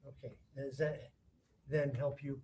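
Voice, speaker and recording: Man, courtroom prosecutor, warm dark tone, methodical but halting questioning. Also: medium pitch, flat delivery, a little hiss or hum. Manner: halting, rephrases questions mid-sentence.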